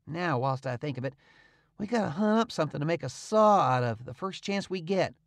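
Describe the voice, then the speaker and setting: A man's voice: a little high, animated, creaky, no echo, clean studio sound. A man voicing a sly, bossy teenage boy who schemes and plays innocent. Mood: scheming. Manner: brash, quick, mock-innocent.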